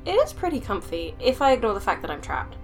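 A woman's voice: on the high side, very animated, fast, faint music behind. Woman voicing a stammering, flustered scientist. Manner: nervous, stammering, sarcastic. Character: flustered young woman scientist stuck on a strange train. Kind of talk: audio drama acting.

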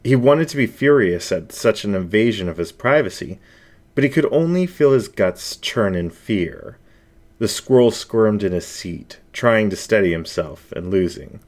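A man narrating a scripted story in a dramatic, suspenseful and expressive way. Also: lowish voice. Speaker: story reader.

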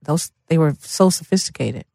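A woman's voice: sits low, lively intonation, normal speed, gravelly, dark tone, dry recording. Middle-aged woman, close dry sound. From Memphis, Tennessee, USA. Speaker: singer, interview guest. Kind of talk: casual interview storytelling.

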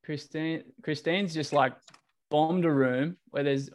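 Slightly higher-voiced male facilitator on a video call, casual and coordinating. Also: fairly even intonation, slow pace. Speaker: workshop facilitator. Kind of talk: running an online group session.

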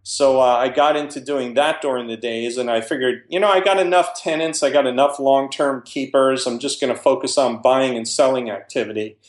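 A man's voice: medium pitch, fairly even intonation, a little fast, hardly any pauses. Man telling investing stories, roomy echo. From New Jersey. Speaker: real estate investor guest. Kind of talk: casual interview conversation.

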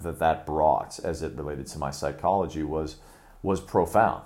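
A young man from Chicago hosting a podcast, talking through a reflective monologue in an earnest, measured way with frequent fillers and restarts. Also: very deep voice, darkish tone. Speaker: podcast host.

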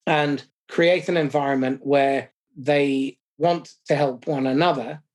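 A middle-aged man with a husky, slightly breathy voice hosts a conversational podcast. He lays out his summary point by point at a measured pace, with a self-deprecating streak. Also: mid-range voice, a little mellow. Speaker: podcast host.

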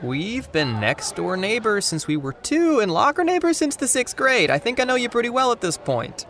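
A man's voice: high voice, huge pitch swings, normal speed, fairly bright tone, a little hiss or hum, exaggerated voice. A man playing a cocky, teasing teenage boy in an audio drama, with a sarcastic, naturalistic delivery. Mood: smug, needling.

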